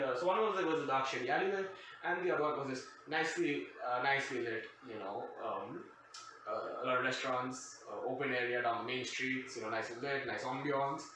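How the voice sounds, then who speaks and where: A man telling a casual comic anecdote in a wry, sarcastic, digressive way. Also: medium pitch. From grew up outside the country where they now live. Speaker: storyteller, host of a storytime show. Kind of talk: casual comedic anecdote storytelling. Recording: very echoey room, distant mic, faint noise.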